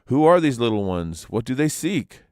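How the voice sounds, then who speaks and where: A man's voice: medium pitch, sing-song, normal speed, a little mellow, no room sound, studio quality, voice pushed up, natural voice. A man voicing clamoring, exclamatory village folk in a storybook legend. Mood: curious.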